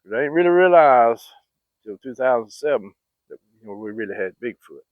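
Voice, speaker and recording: A man's voice: medium pitch, expressive, moderate pace, dry recording. A middle-aged man telling a rambling, folksy story with many fillers and restarts. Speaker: channel host telling hunting stories. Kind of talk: casual storytelling. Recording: dry, phone-like sound.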